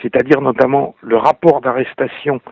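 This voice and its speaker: A man giving measured political commentary, hesitating now and then as he explains. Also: mid-range voice, fairly even intonation, creaky, breathy edge, dry recording, narrow, tinny audio.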